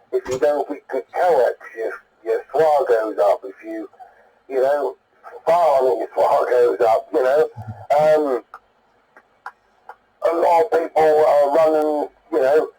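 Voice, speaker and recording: A man's voice: medium pitch, mellow, husky, rough recording. A British man heard over CB radio, chatting in a blunt, colloquial, rambling way as an enthusiast. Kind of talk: casual radio chat.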